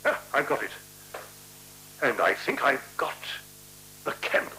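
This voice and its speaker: An actor playing a chummy, fussily polite newcomer in a 1970s radio play, with a chatty, coaxing, courteous manner. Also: male voice, mid-range voice, lively intonation, a little slow, bright, forceful tone. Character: chummy, fussily polite male newcomer making himself at home. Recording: noisy, humming 1976 radio recording.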